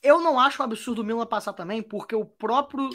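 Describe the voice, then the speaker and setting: A woman's voice: on the high side, fast, a bit bright. A Brazilian woman hosting a podcast, chatting about football in a loose, wry way with many restarts. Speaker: podcast host. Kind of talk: casual football chat.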